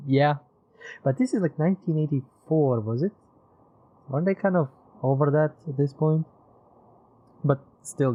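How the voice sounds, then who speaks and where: Man, casual gameplay commentary, thinking aloud, medium pitch, slightly muffled audio. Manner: rambling, thinks aloud, mutters fillers. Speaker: game streamer. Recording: slightly dull streaming audio with music.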